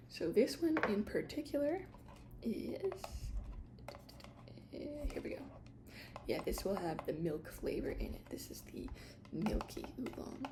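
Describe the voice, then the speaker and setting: A woman doing a soft-spoken ASMR shop roleplay, explaining products in a casual, hesitant, unscripted way. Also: mid-range voice, vocal fry. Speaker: ASMR creator playing a tea shop attendant.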